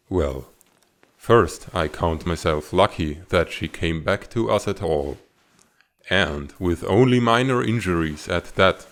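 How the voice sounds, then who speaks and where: A man playing a stern, guarded serpent-dragon rebel leader and father in a comic fandub, speaking in a measured, formal, authoritative way. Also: low-pitched, full character voice. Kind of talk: dramatic comic fandub acting.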